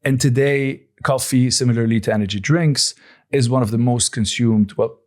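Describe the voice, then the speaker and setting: A man in his forties who grew up in Lebanon speaks as a guest founder in a podcast interview. He answers in a steady, measured voice, pitched around the middle for a man, often pausing with "um" and starting his sentences over. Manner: measured, thoughtful, with frequent "um" and restarts. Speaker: guest, coffee company founder.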